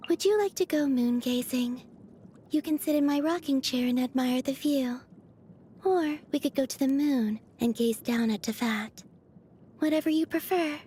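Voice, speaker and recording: Woman voicing a soft, high, dreamy moon goddess. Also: slight background noise, close to an everyday voice. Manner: gentle, unhurried, dreamy, politely detached. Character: serene, dreamy young moon goddess, gently curious and naive. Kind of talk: video game character voice lines.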